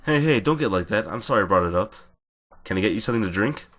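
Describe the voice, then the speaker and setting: A man's voice: lowish voice, expressive, fast, a bit bright. A man playing an easygoing, sympathetic server at a drinks counter, speaking casually and considerately in a visual novel fandub. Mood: concerned, casual. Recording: guitar music behind the voice.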